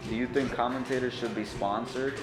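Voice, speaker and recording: A man's voice: medium pitch, fairly even intonation, brisk. A young man with a New York accent, chatting in a laid-back, friendly way as a stream co-host. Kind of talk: casual stream chat. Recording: echoey room with music.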